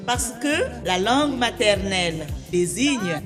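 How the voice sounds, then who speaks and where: A woman's voice: mid-range voice, animated, slow. A woman presenter reading a scripted radio promo in a melodic, sing-song way. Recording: music bed under voice.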